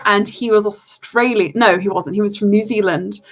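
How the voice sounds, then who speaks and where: A young woman running a tabletop game as game master, chatty and quick to laugh, often breaking off mid-sentence. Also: mid-range voice, a crowd in the background.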